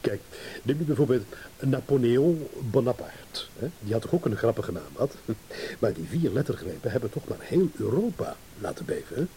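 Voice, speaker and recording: A man's voice: mid-range voice, very animated, normal speed, mellow. An actor in a radio play playing a self-assured businessman, courteous and indulgent in a patronising way, yet guarded. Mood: amused, indulgent. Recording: hissy old radio play recording.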